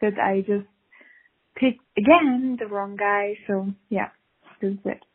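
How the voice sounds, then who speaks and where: A woman's voice: medium pitch, fairly even intonation, slow pace, breathy edge. A woman from Switzerland with a Swiss accent, calling in to tell a dating story candidly and laughing through her embarrassment. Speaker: caller sharing a dating story. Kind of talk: casual recorded confession. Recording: tinny phone-line recording.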